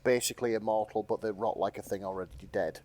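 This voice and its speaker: A man's voice: fairly low pitch, fairly even intonation, fast, a little mellow. A man co-hosting a podcast in casual, sweary banter. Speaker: podcast co-host.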